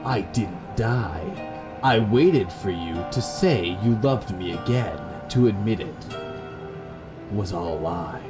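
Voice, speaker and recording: Horror game role: wronged woman lover played by a man, low-pitched, slow, tender yet accusing, music behind. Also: animated. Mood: wounded, restrained.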